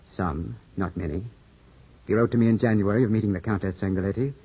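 On an old, muffled radio drama, a young English heir in grief. The voice is low and rough-edged. He is clipped, intense and full of suspicion. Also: fast. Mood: troubled, insistent. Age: 20s. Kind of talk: 1950s radio drama acting. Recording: muffled, scratchy 1950s radio broadcast.

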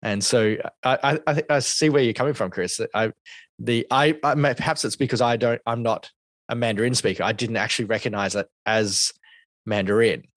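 A man talking through a film review on a podcast, his voice sitting on the low side. He thinks aloud, pausing and restarting often. The sound is dry and close. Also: a bit bright, no room sound. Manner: thoughtful, hesitant, many restarts. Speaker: podcast co-host. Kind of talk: casual film review discussion.

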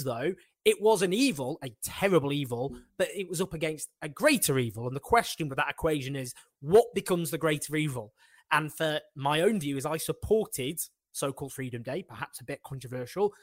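A man's voice: a little high, no echo. Emphatic man hosting a debate. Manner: animated, emphatic, fast-talking. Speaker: host. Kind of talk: political discussion.

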